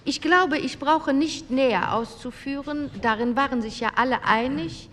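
An actress playing an earnest conservative woman politician of 1948, giving a measured, formal speech in parliament. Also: high-pitched, fairly bright tone. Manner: measured, matter-of-fact, formal. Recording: long hall reverb, clear background noise.

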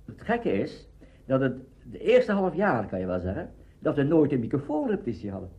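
An older man telling anecdotes as an interviewee, animated and conversational. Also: mid-range voice, brisk. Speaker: interviewee, veteran radio actor. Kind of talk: anecdotal reminiscence. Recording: dull archive interview recording.

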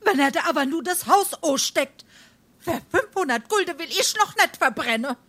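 Aufgeregte hessische Hausfrau, hohe lebhafte Stimme. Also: female voice, sits high, expressive, normal speed, fairly bright tone. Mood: alarmed. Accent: Darmstadt Hessian. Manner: flustered, complaining. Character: excitable, fretful middle-aged housewife and mother. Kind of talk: stage dialect comedy acting.

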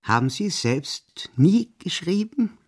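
An actor playing a middle-aged Austrian diplomat in a literary radio play, formal and measured, asking pointed, suspicious questions. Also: male voice, a little high, very animated, heavily acted. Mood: probing.

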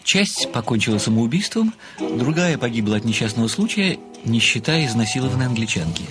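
A man acts out an earnest, meticulous pensioner who sleuths as a devoted amateur. His speech is formal and deferential, middling in pitch, with a theatrical audio-drama delivery. Music plays clearly behind him. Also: sing-song, a little fast, lightly acted. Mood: deferential, insistent. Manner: formal, deferential, precise, declamatory when enthused.

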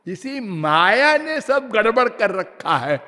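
A man giving a slow, measured spiritual discourse, drawing out his words and half-singing some phrases. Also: high voice, very animated, pushed and bright. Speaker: spiritual teacher. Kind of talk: devotional discourse. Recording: long hall reverb.

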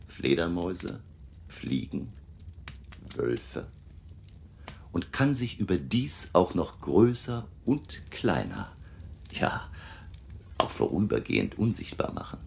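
Radio drama: grave scholarly vampire hunter, animated low delivery, slight background noise. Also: male voice, creaky, full character voice. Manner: deliberate, emphatic, professorial. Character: grave, learned male vampire hunter lecturing his allies. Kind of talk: German radio drama acting.

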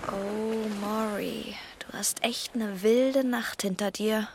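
A young woman playing a caring, persuasive teenage girl and loyal friend in an audio drama, gentle and coaxing. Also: mid-range voice, steady tone, slow pace, slight background noise. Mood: curious. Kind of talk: acted audio drama dialogue.

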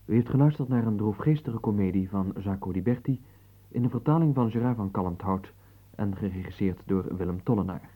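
A man reading out the credits of a radio play in a measured, formal manner. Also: lowish voice, phone-quality sound. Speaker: radio drama announcer.

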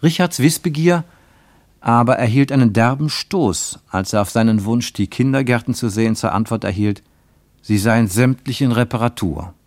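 A man as the narrator of a radio play travelogue, reading literary prose in a measured, reporting manner. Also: mid-range voice, a little mellow, dry recording, natural voice. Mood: measured, observant.

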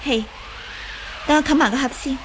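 A woman's voice: sits high, normal speed, natural voice. An actress playing a bitter, defiant young martial arts woman in a Cantonese radio drama. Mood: matter-of-fact. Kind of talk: Cantonese audio drama acting. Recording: faint background noise.

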